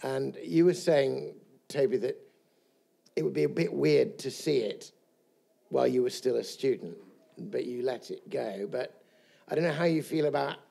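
A man's voice: mid-range voice, gravelly, dark tone. A middle-aged man, a former college chaplain, offering a thoughtful, musing comment from the audience. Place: college event hall with an audience.

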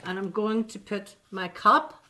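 A woman's voice: medium pitch, a little slow, heavy background noise. An older woman, hosting a children's baking lesson in her home kitchen and talking straight to the young viewers with coaxing questions. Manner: chatty, talks directly to children, coaxing questions. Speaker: baking show host. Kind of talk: children's cooking demonstration.

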